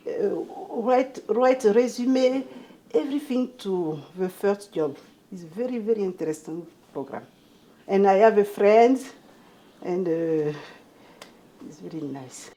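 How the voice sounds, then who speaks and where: Middle aged west african lady, ivorian french accent, native french speaker, halting english, searches for words, soft dark tone, really slow. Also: medium pitch. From Ivory Coast. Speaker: documentary interviewee, newcomer immigrant. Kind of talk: personal account in an interview.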